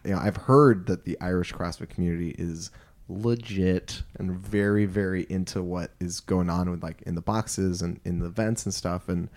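Low-voiced, upbeat American male host. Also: fairly even intonation, normal speed, darkish tone. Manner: enthusiastic, chatty, laughs often. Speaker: podcast host. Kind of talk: casual interview chat. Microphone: studio microphone.

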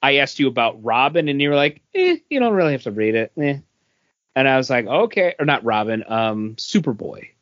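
A man chatting casually as a podcast host, halting and pausing mid-sentence. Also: medium pitch, big pitch swings, a bit bright, no echo, dull audio. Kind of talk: casual comic book review chat.

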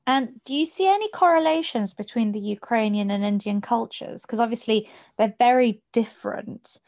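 A woman's voice: a little high, normal speed, a little mellow, dry recording, clean studio sound. A woman interviewing a guest, asking friendly, curious questions.

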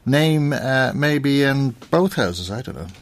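A man's voice: medium pitch, expressive, unhurried, slight background noise. An Irish man presenting a radio interview, hesitant and full of "uh" fillers.

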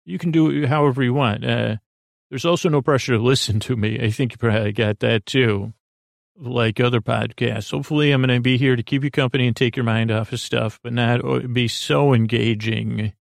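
A man with a creaky voice hosts a sleep podcast, meandering through a low-key, self-deprecating bedtime monologue with hesitant, self-interrupted sentences. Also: fairly even intonation, a little fast, dry recording, clean studio sound.

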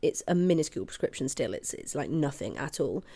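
A woman in her twenties with a hoarse voice from a cold, chatting casually and apologetically as she hosts her podcast from her flat. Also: rumbling low, brisk. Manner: chatty and apologetic, with frequent laughs. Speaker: podcast host. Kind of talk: casual solo chat. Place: flat at home, late at night. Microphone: headphones and podcast mic.

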